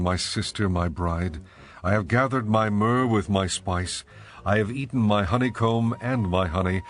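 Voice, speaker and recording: A man playing an ardent, tender bridegroom in a dramatized scripture reading, with measured, poetic delivery. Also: lowish voice, close to an everyday voice. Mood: joyful. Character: ardent bridegroom, tender adoring lover praising his bride. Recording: music behind the voice.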